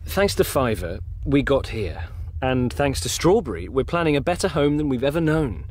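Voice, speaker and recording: A man's voice: medium pitch, big pitch swings, normal speed, dry recording. An actor playing an earnest, hopeful male rabbit leader in a radio drama, speaking thoughtfully and persuasively.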